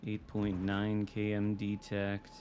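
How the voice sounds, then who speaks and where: A man's voice: fairly low pitch, monotone, slow, a little mellow, muffled recording, a little hiss or hum. A man with a Michigan American accent giving casual, dry, sometimes profane live commentary as he streams a video game. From United States. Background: video game artillery and gunfire.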